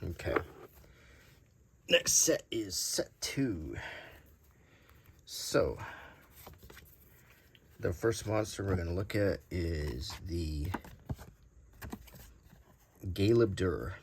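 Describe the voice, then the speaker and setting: A man with a low voice rambles casually through an unscripted show-and-tell. He is unhurried and thinks aloud as he goes, with some noise behind him. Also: animated. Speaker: host showing vintage game cards.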